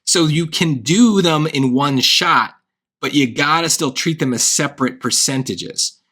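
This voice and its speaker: A man walks through a math problem like a relaxed tutor, checking in with "right?" as he goes. His tone is bright and forward. The voice sounds worn and hoarse. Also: a little high, unhurried. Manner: thinking aloud, wry asides, frequent "right?". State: voice getting hoarse. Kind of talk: casual worked-example lesson.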